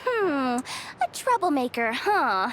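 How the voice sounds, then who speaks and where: Plucky young organiser, high animated voice, slower pace, video game, music behind. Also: female voice, a little hiss or hum, exaggerated voice. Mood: tough, cocky. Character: plucky young woman event organiser, bluffing tough.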